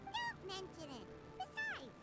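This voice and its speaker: A woman's voice: very high-pitched, wildly swooping pitch, unhurried, loud music behind the voice, exaggerated voice. A woman voicing a perky, chatty tiny fairy companion in an anime-style video game, with a bubbly, exclamatory delivery. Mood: cheerful.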